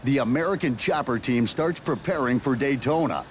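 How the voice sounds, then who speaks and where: A man's voice: medium pitch, moderate pace, a little hiss or hum, natural voice. A man narrating a motorcycle workshop show in a scripted, dramatic style.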